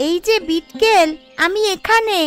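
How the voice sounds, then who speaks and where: A woman's voice: very high-pitched, unhurried, faint music behind. A woman voicing a sweet, curious young schoolgirl in a cartoon, with a childlike, eager way of talking. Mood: cheerful. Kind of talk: Bengali family cartoon voice acting.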